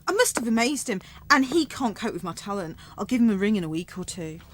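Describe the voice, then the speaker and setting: A woman's voice: mid-range voice, big pitch swings, fast talker, noisy background, close to an everyday voice. A woman playing a deluded, eager young airport check-in clerk in a radio comedy. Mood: smugly confident. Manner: eager, self-assured, theatrical.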